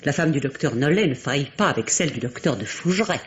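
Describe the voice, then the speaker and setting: An actress playing a resentful, sardonic daughter-in-law in a radio play, formal diction and a husky voice, on a muffled recording. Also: female voice, sits low, animated, fast, heavily acted. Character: bitter, resentful daughter-in-law, trapped provincial wife. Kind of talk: old French radio play acting.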